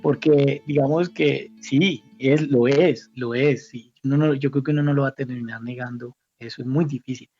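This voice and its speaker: Gravelly Colombian man, halting and reflective. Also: mid-range voice, steady tone, warm tone, faint music behind. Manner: halting, repeats words while thinking. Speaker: academic guest, institute director. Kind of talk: reflective interview conversation. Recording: slightly dull, muffled audio.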